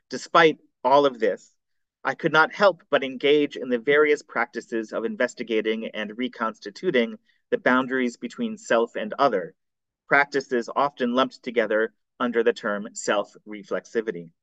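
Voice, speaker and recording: A man reads his seminar paper in a careful, formal cadence, correcting the odd slip as he goes. His voice sits at an ordinary pitch for a man. The recording is dry and somewhat dull and muffled. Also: fairly bright tone, dry recording. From United States. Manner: measured, formal reading with occasional slips. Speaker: scholar presenting a seminar paper. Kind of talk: reading a prepared academic paper.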